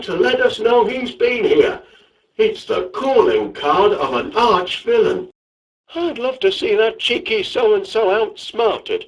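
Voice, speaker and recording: High, lilting Northern English inventor. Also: male voice, slightly rough, no echo, full character voice, low-quality recording. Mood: mildly indignant. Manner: bumbling, chatty, hesitant, mild exclamations. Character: cheery, absent-minded middle-aged English inventor, fussy and genial. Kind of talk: animated comedy game voice acting.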